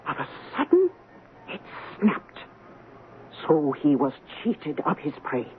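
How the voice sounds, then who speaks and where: Stern superstitious scottish highland woman, old radio play, very animated delivery, thin noisy recording. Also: takes it slowly, narrow, tinny audio, heavily acted. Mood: grim, dramatic. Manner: grave, archaic, dramatic phrasing. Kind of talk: radio drama storytelling.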